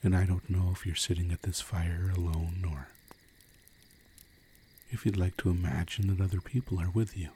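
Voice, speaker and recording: A man with a low, dark, relaxed voice leads a sleep meditation. He speaks in a hushed, soothing way, leaving long pauses. Crickets sound softly behind him with faint noise. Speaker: guided meditation narrator. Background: crickets and crackling fire.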